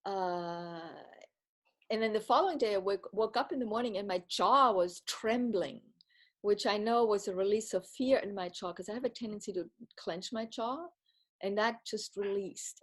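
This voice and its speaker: A woman who grew up speaking Bavarian tells a personal story in English. She speaks at a middle pitch, reflective and earnest, often circling back and restarting her sentences. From Bavaria, Germany. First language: Bavarian German. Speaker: retreat participant giving a testimonial.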